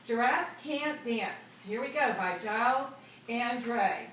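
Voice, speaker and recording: A woman reads a children's book aloud at an unhurried pace, stopping to put questions to young listeners. She sounds like a teacher, with expressive storybook phrasing. The recording is roomy and distant, with clear background noise. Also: on the high side. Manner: pauses to prompt and echo children's answers. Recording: echoey room, distant mic, noisy background.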